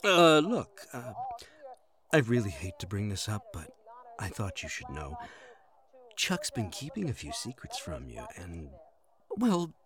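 A man playing a cynical, bitter best friend in an audio drama, with a sardonic, emphatic, drawn-out delivery. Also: on the low side, huge pitch swings, a bit bright, full character voice. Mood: awkward, insinuating. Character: bitter, cynical best friend ranting about deadly women.

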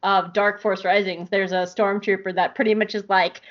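A woman's voice: mid-range voice, moderate pace. This is a woman hosting a casual podcast in an eager, gushy way, cursing freely as she chats. Her voice sits in an ordinary middle range. The recording is dull and somewhat lo-fi. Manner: gushing, excitable, swears and laughs often. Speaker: podcast host. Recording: dull lo-fi sound.

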